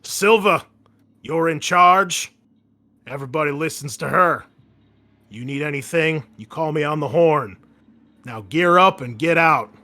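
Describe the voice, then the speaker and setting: A man voicing a gruff, foul-mouthed Marine commander giving emphatic orders in a tabletop game briefing. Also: a little high, a little slow, long pauses, sharp tone. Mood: barking orders.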